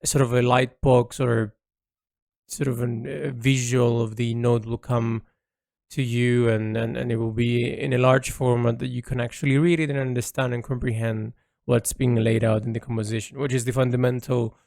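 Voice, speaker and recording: A man recording a reflective, unscripted audio log as an artist, rambling and rephrasing haltingly as he thinks aloud into a close mic. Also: medium pitch, little pitch movement, creaky, darkish tone, no echo.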